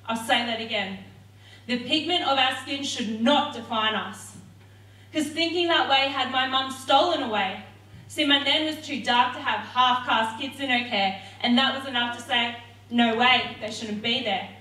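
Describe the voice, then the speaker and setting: A young woman performs rhythmic, defiant spoken word poetry in a high, sharp-edged voice. She is heard from a distance across a roomy hall. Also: normal speed. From Australia. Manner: rhythmic, defiant, forceful delivery. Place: theatre stage.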